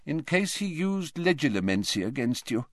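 Brief: old wizard headmaster read by a man, soft and calm, light conversational tone with quiet mumbles, storybook reading. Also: a little high, moderate pace, no echo, studio quality, pitched above the performer's own voice. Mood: gentle, pleading. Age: older.

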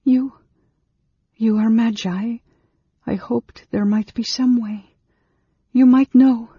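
A narrator of a fantasy audiobook voicing a frightened young female mage who pleads in a halting, stammering way. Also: medium pitch, steady tone, breathy edge, voice pushed up, heavily acted. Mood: distressed, pleading. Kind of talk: dramatized fantasy audiobook dialogue. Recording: echoey, dull recording.

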